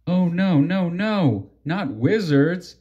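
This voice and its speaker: A man voicing an excitable, theatrical storybook character who is easily alarmed and exclaims dramatically. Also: sits high, takes it slowly, breathy edge, lo-fi sound, exaggerated voice. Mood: excited alarm.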